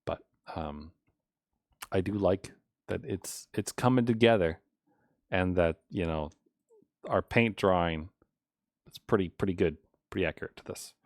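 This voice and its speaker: A man's voice: deep voice, measured pace, pauses often, dry recording, clean studio sound. A man streaming live as he codes, talking casually and unscripted, rambling as he thinks aloud. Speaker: live streamer coding a game.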